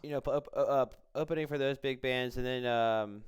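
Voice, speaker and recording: A man hosting a casual interview, asking questions in a hesitant, halting way and giving short agreeing replies. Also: mid-range voice, steady tone, slight background noise. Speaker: podcast host, interviewer.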